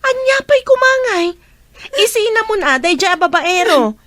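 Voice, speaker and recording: Outspoken young female friend, high animated voice, exclamatory, Ilocano accent, radio drama. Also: unhurried, no room sound, exaggerated voice. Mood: indignant. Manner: exclamatory, expressive. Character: Mitch, outspoken young woman, indignant loyal best friend. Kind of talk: Filipino radio drama acting.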